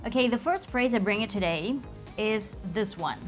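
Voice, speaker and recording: A woman from Cuba, a native Spanish speaker with a Cuban accent, hosting an upbeat slang lesson and repeating phrases slowly and clearly. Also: medium pitch, lively intonation, faint music behind. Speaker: language teacher, channel host.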